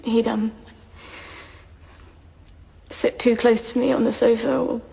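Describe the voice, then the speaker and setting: In an echoey hall, a scared teenage girl speaks in a high voice. She falters through courtroom drama testimony. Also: flat delivery, gravelly, warm tone, full character voice. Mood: uneasy, subdued. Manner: halting, faltering. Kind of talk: audio drama courtroom acting.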